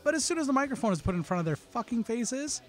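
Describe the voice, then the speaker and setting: A man's voice: very high voice, very animated, fast talker, faint music behind. A man reading a sardonic scripted voice-over that recaps a radio show. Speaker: narrator of a recap segment. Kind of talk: scripted comic voice-over narration.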